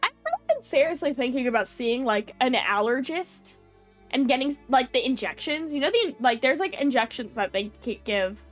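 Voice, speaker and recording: A young woman with a high, nasal voice, chatting with her stream viewers while she's stuffed up from allergies. She talks in a loose, giggly way, full of "like" and small restarts. Faint music plays behind her. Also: lively intonation, normal speed. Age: young adult. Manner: chatty, lots of fillers and giggles. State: stuffy runny nose. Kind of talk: casual chat with viewers.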